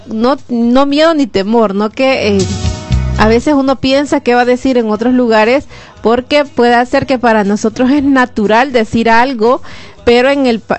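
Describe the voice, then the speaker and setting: A woman with a Salvadoran Spanish accent hosting a live radio show, chatting and greeting listeners. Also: on the high side. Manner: friendly, chatty, reads listener messages aloud. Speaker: radio host. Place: radio studio.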